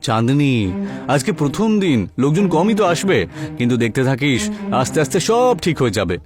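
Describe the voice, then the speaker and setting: A man's voice: medium pitch, very animated, fairly quick, lightly acted. A man voicing a determined, hopeful young village boy in a cartoon, earnest and reassuring. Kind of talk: cartoon character acting. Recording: music behind the voice.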